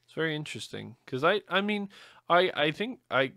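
Bright, close-up male podcast voice. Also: fairly high pitch, big pitch swings, a little fast, creaky, no echo. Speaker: podcast co-host. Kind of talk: casual podcast chat.

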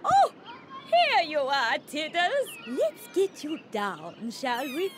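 An actress playing a kindly, encouraging female gazelle in a children's cartoon game, gentle in manner. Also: high voice, very animated, slow pace, over loud music, heavily acted.

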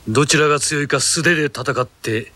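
A man's voice: on the high side, normal speed, pushed and bright, slight background noise. A middle-aged man dubbing film characters, stern and commanding, often menacing. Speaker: voice actor dubbing film roles. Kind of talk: film dubbing, dramatic acting.

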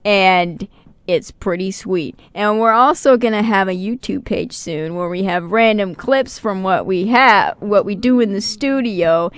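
A woman's voice: mid-range voice, unhurried, clean tone, no room sound. A woman hosting a radio show, talking in a casual, jokey, informal way. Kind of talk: casual radio chat and reading a synopsis aloud.

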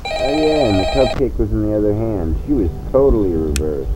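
A man casually commentating his own gameplay, muttering to himself as he plays, with loud game music behind him. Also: lowish voice, very animated, a little fast, rough recording. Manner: muttering, talking to himself while playing. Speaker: gaming channel host. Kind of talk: casual let's play commentary.

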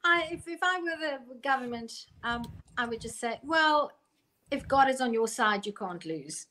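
A woman's voice: high-pitched, animated, normal speed, pushed and bright. A middle-aged woman offering casual commentary as a panelist on a video call. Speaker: news discussion panelist. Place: room at home. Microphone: video call, close to camera.

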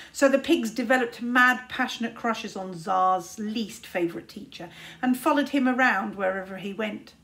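A woman in her thirties reading a storybook aloud to children, deliberately and expressively, like a teacher. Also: medium pitch, a bit bright. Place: small room at home, indoors.

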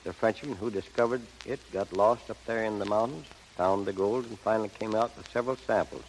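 A man's voice: fairly low pitch, a little fast. An older man speaking in a folksy, plainspoken way as a guest in a scripted 1930s radio interview. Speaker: gold prospector guest. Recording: noisy, echoey 1930s radio broadcast.

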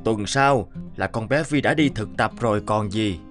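A man voicing an easygoing middle-aged father in an animated family tale, with music behind. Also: lowish voice, moderate pace, lightly acted. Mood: casual, concerned. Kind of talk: animated folk-tale dubbing. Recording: music under the voice.

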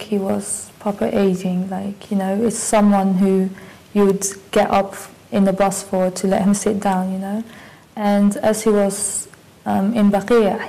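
A young woman being interviewed in a dark studio, recalling her trip in a relaxed, chatty way with many fillers. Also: mid-range voice, creaky, poor audio. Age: young adult. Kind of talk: casual recollection.

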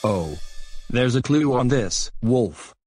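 A man's voice: mid-range voice, animated, slow, loud music behind the voice. A man playing a friendly, curious young host who talks to child viewers in a cartoon. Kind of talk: children's show dialogue, addressing viewers.

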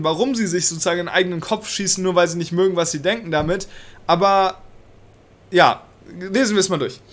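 A man's voice: sits high, fairly bright tone. A young man with a cold, ranting agitatedly and swearing while he reads a law text aloud and comments on it. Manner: agitated, swears, mixes in English words. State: has a cold. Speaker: political commentator. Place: small room indoors.

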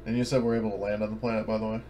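A man's voice: lowish voice, little pitch movement, rapid-fire, dark tone. A man talking casually as a player in an online tabletop roleplaying game. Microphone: online voice call. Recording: faint background noise.

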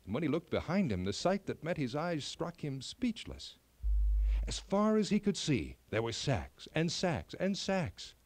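A man narrating a children's fairy tale in an expressive, measured storytelling style. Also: a little high, no room sound.

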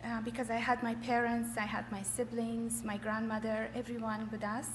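A middle-aged woman from Afghanistan giving a personal testimony in short phrases, hesitant and often pausing. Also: fairly high pitch, level tone. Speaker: church member giving testimony. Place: large echoey hall. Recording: echoey hall with faint noise.

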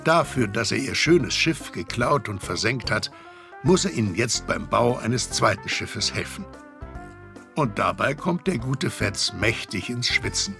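A man's voice: medium pitch, animated, a little slow, gravelly, over music. A man narrating a children's audio drama as a scripted storyteller.

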